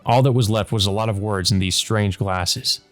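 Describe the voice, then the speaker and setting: A man's voice: on the low side, fairly even intonation, moderate pace. A man doing a let's play, reading a visual novel's narration aloud. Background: background game music.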